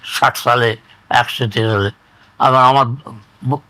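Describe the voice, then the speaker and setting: Old west bengali guy in his 80s telling oral history, rambly and halting, sharp bright voice, dry sound. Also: medium pitch, steady tone, dry recording, rough recording. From rural West Bengal, India. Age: 80s or older. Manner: halting, rambling storyteller, repeating phrases. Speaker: interviewee recounting oral history. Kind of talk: conversational reminiscence.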